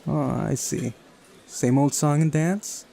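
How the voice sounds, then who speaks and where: A man's voice: a little high, fairly even intonation, slow, gravelly, dark tone, voice pushed up. A man voicing a helpful, inquisitive young woman in a visual novel read-aloud. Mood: knowing, helpful.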